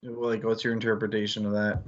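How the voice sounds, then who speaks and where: A man's voice: fairly low pitch, monotone, a little fast, a little hiss or hum. Male book club host, casual and analytical, talks around his points; slightly dull stream audio.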